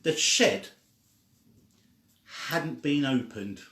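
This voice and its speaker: An older man reading a children's story aloud informally, with a halting, deliberate delivery. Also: medium pitch, animated, pushed and bright. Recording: echoey room.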